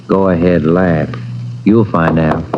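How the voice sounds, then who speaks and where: Lowish, folksy American police detective. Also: male voice, fairly even intonation, slow pace. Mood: grumbling. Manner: plain-spoken, folksy. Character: folksy, grumbling male police detective, family man. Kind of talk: naturalistic 1950s radio drama acting. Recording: dull old radio recording, faint noise.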